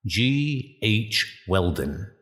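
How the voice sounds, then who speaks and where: A man's voice: lowish voice, very animated, drawn-out words, a bit bright, full character voice. A man voicing a kindly naval commander and mentor reading a formal, reassuring letter in a comic dub.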